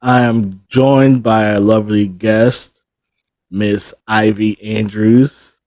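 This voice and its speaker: A man hosting a casual interview podcast, asking short, relaxed questions of his guest. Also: fairly low pitch, takes it slowly, dry recording. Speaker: podcast host.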